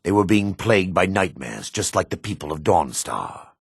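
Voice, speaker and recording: An actor playing an older dark elf priest in a video game, grave, devout and weary, with a gravelly, husky voice and solemn, formal phrasing. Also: male voice, low voice, lively intonation, moderate pace, no room sound, studio quality, full character voice. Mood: grave, ominous. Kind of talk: video game character acting.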